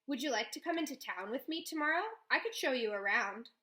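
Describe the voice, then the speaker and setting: A woman's voice: sits high, lively intonation, a little fast, bright, forceful tone. A woman reading as a friendly, easygoing boy. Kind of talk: read-aloud storybook.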